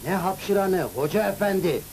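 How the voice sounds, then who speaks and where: A man's voice: high voice, expressive, normal speed, darkish tone, rough recording. An actor playing a stern, indignant district governor in a cartoon, formal and commanding as he questions people. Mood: stern, questioning. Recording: hissy old cartoon soundtrack.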